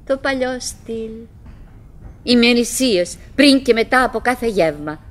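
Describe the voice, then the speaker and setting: An actress playing a chattering, wistful middle-aged wife who is stubbornly cheerful, musing aloud in fragments and exclamations in a theatrical radio play. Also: female voice, fairly high pitch, animated, close to an everyday voice. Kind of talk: theatrical radio drama monologue. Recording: noisy old radio drama recording.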